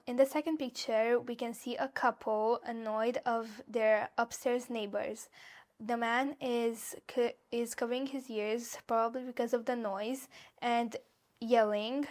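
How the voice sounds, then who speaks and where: Give me a young woman with a Romanian accent, higher-pitched, pausing and restarting as she answers exam questions. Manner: hesitant, with fillers and restarts. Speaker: exam candidate.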